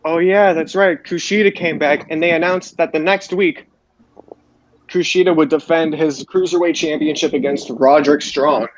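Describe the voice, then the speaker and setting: A man with a slightly high voice hosts a casual sports recap. He talks haltingly and repeats his phrases. The sound is dull and lo-fi, like an online voice call. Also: fairly even intonation. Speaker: podcast host. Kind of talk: casual wrestling show recap. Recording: roomy, dull lo-fi voice chat.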